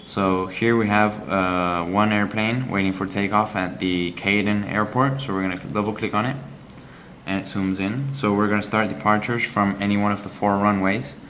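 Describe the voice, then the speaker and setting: Low-voiced man demoing a game. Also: fairly even intonation, a bit bright, a little hiss or hum. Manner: halting, explanatory, frequent ums. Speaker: app reviewer. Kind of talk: game review walkthrough.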